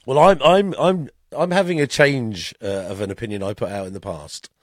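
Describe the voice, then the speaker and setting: A man co-hosting a casual podcast, his voice slightly croaky after a cough, who laughs often and pokes fun at himself. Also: mid-range voice, normal speed. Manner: self-mocking, laughs often. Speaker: podcast co-host. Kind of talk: casual chat.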